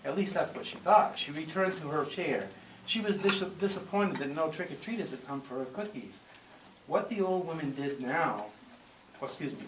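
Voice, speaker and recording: A man telling a story theatrically and deliberately to a group, with playful asides. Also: a little high, fairly bright tone. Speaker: live storyteller. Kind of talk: dramatic spoken storytelling to a live group. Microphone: small consumer video camera in the room. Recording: echoey noisy room, consumer camcorder audio.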